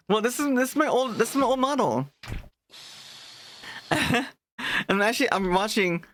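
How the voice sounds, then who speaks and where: A man's voice: high voice, fairly bright tone. A young man chatting casually on a live stream, rambling and restarting his sentences as he greets his chat.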